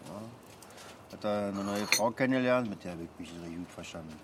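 An actor playing an easygoing middle-aged father in a radio drama, rambling haltingly with a Berlin accent. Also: fairly low pitch, a bit bright, lightly acted. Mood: reminiscing. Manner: colloquial, halting, chatty. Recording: clear street and traffic noise.

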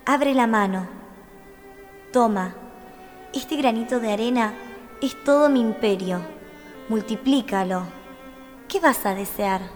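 A woman playing a gentle, solemn young empress of a fantasy realm in a radio drama. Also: fairly high pitch, takes it slowly, pauses often, faint music behind. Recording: long hall reverb on studio recording.

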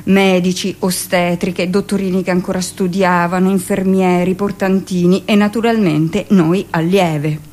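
An actress playing a hardened midwife, recounting hospital memories matter-of-factly in a theatrical radio monologue. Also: female voice, on the low side, flat delivery, a little slow, hardly any pauses, a little mellow. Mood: matter-of-fact recollection.

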